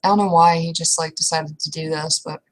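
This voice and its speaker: Lower-pitched young woman, hesitant and casual. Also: fairly even intonation, brisk. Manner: casual, hesitant, trailing restarts with mild swearing. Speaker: roleplay player. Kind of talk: improvised in-character roleplay conversation.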